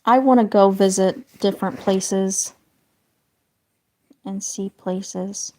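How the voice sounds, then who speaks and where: A woman's voice: mid-range voice, steady tone, slow. A chatty woman rambling to her stream viewers. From northern United States. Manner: chatty and meandering, trailing into quiet asides. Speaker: livestream host drawing and chatting with viewers. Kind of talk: casual livestream chat.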